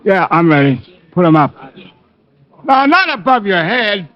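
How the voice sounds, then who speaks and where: Dim, high-voiced boastful American prizefighter. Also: male voice, big pitch swings. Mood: cocky, friendly. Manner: slow-witted, slangy, ungrammatical. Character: dim, boastful prizefighter, slow-witted palooka. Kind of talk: old-time radio detective drama acting. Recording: muffled old radio broadcast recording.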